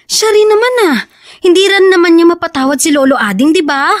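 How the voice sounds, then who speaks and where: A woman's voice: extremely high pitch, lively intonation, fairly quick, no room sound, clean studio sound. A woman playing a respectful, earnest daughter in a Filipino radio drama. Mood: defensive. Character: dutiful, apologetic teenage daughter of an estranged father.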